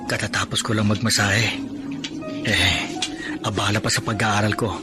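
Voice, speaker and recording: An actor with a Filipino accent playing an earnest, hardworking young blind student in a radio drama. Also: male voice, lowish voice, level tone, normal speed, music in the background, close to an everyday voice. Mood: worried. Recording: radio drama with noisy ambience behind.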